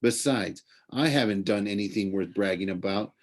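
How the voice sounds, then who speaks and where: A man's voice: lowish voice, moderate pace. A man voicing a stubborn, defensive boy hero in a storybook, with an emphatic, indignant delivery. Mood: frustrated.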